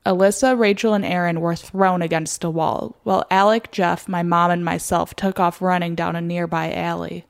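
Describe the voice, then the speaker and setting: A woman's voice: lowish voice, steady tone, normal speed, gravelly, few pauses. A young American woman reading a scripted personal story as its narrator.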